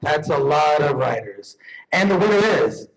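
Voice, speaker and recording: A man's voice: on the high side, expressive, normal speed, vocal fry. A man presenting awards live on stage in a jokey, profane, emphatic comedic manner. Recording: noisy live event, clicks.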